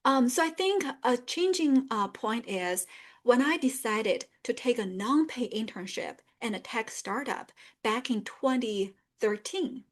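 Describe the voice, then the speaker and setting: A woman's voice: a little high, expressive, unhurried. A middle-aged woman from northern China with a Chinese accent, hosting an online career webinar in an earnest tone with many fillers. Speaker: webinar host and career coach. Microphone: video call.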